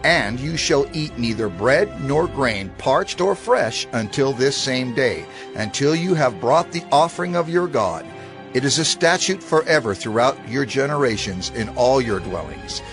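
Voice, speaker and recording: Expressive male scripture narrator over music. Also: medium pitch, unhurried. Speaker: scripture reader.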